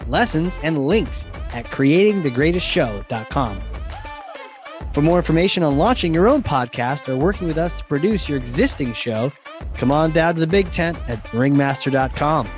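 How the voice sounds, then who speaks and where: A man hosting a podcast in a casual, conversational style. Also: a little high. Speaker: podcast host.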